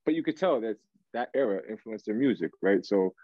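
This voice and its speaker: A low-voiced man talks loosely as a guest on a video call. He is slangy and halting, circling back as he hunts for words. The sound is close and dry but a bit lo-fi. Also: expressive, no room sound. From Canada, raised in Toronto. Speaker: guest R&B singer. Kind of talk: casual interview chat. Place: small room, indoors. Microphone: video call, device at arm's length.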